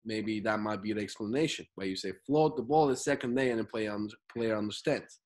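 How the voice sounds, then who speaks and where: A man from Turkey talks in English with a clear Turkish accent, his first language still audible in every phrase. He chats casually and animatedly about coaching basketball, his voice jumping up in pitch as he explains. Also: fairly quick, a little mellow. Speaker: basketball skills trainer, interviewer. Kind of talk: casual conversation.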